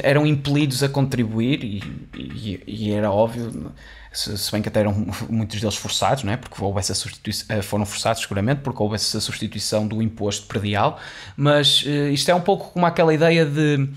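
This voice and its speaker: A man's voice: mid-range voice, fairly even intonation, fairly quick. A young man with a European Portuguese accent explains finance history in a casual, chatty way, full of fillers and restarts, into a studio microphone in a small room. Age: young adult. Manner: chatty, many restarts and fillers, gestures while talking. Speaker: host of an educational video series. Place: small studio room with dark wall, indoors. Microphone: studio condenser mic on boom arm, headphones on.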